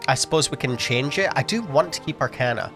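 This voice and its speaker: A sniffly man of ordinary pitch muses through his gameplay choices, hesitating and filling pauses as he thinks aloud. His casual commentary sits over faint background music. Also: expressive, sharp tone. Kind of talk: casual let's-play commentary.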